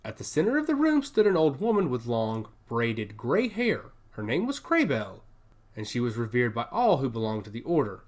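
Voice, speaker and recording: Dramatic story narrator with very animated pitch. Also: moderate pace. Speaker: audiobook narrator.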